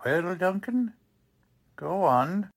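A man's voice: sits high, lively intonation, extremely slow, exaggerated voice. A man playing a blunt, sarcastic police lieutenant in the style of a 1940s radio drama. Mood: blunt, suspicious. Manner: blunt, mocking, slangy.

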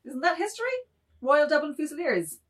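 A woman's voice: high voice, expressive, brisk. A woman playing an anxious, earnest middle-aged man, agitated and pleading, in a dramatised literary reading.

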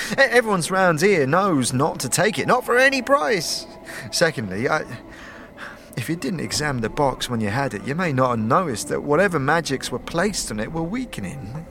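A man playing a nervous, evasive city lowlife in a fantasy audio drama, stammering and rambling through his words. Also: sing-song, normal speed, sharp tone, slight background noise, exaggerated voice. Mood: nervous, pleading.

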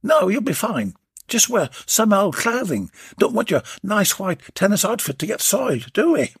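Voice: medium pitch, very animated, normal speed, breathy edge, no echo, studio quality, pitched above the performer's own voice, full character voice. A storyteller voicing a jolly, cheeky male rubbish remover who banters and teases. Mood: chirpy. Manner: chirpy, teasing banter.

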